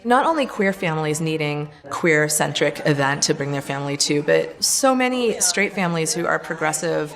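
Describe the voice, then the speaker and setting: A woman with a low voice gives a documentary interview. She is earnest and articulate, building long sentences. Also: lively intonation, moderate pace, few pauses.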